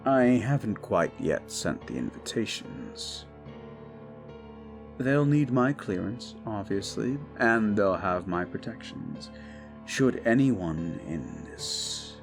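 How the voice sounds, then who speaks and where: Casting: male actor as a paranoid, possessive prince, low and unhurried, whispery menace. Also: vocal fry, exaggerated voice. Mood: threatening. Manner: soft, slow, often whispering, menacing. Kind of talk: audio roleplay drama. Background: background music.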